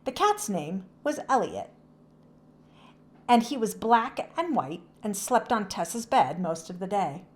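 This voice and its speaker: A woman reads a children's storybook aloud. Her pitch moves up and down in a lively way as she narrates, in a medium register. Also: takes it slowly.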